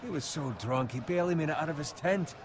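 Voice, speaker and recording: A man's voice: fairly high pitch, expressive, quick pace, natural voice. Cocky soldier played by a man, noisy background. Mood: mocking. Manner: blunt, mocking. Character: cocky, teasing young soldier in a medieval army camp. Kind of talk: video game fantasy acting.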